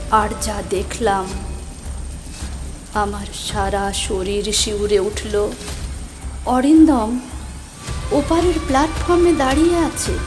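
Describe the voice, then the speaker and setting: A woman playing an anxious young woman who recounts an eerie night in an emotive, suspenseful audio drama. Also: high-pitched. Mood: frightened, urgent. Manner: emotive, suspenseful, drawn-out delivery. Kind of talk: dramatic scripted first-person story narration. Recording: music behind the voice.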